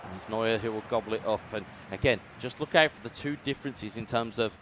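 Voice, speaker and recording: Man giving excitable football game commentary. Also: a little fast, noisy background. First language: English. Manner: excitable, booming on big chances. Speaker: esports commentator. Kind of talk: play-by-play commentary.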